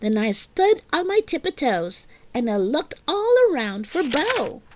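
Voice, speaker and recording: Female children's book narrator: expressive read-aloud, unhurried pace, lively intonation. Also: sits high. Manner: expressive, deliberate storytelling.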